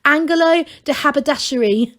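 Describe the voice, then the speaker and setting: A woman voicing an excitable young male gnome with grand manners, talking eagerly and breathlessly. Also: high voice, normal speed, voice pushed up. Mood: excited.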